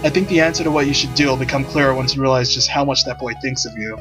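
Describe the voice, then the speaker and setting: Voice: medium pitch, fairly even intonation, quick pace, lightly acted. A carefree male ghost from a visual novel, as acted by a reader, talks in a lazy, jovial way. He teases casually, with music playing behind him. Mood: carefree, teasing. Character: carefree teasing male ghost of Christmas Past. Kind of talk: visual novel character reading.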